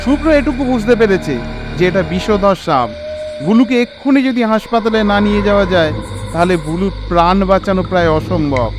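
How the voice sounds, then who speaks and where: A man narrating a scripted horror story in an expressive, suspenseful, dramatic way. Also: high-pitched, moderate pace, music in the background.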